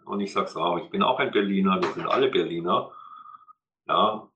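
A man's voice: low-pitched, moderate pace, lo-fi sound, noisy background. A man as host giving rambling closing remarks to an audience, laughing between phrases.

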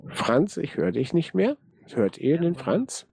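A man's voice: fairly high pitch, very animated, slow, vocal fry, darkish tone, breathy edge, no echo. A man hosting a live online radio show in a casual, rambling way, thanking his guests and listeners. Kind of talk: casual live broadcast chat.

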